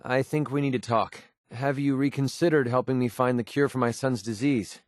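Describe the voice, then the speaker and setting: A man's voice: medium pitch, steady tone, dry recording, close to an everyday voice. Man acting as a guarded young mercenary, dry close recording. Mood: earnest, hopeful. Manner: informal, halting when emotional. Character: guarded young mercenary, wry, short-tempered, secretly tender. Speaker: video game voice actor. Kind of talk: scripted video game character dialogue.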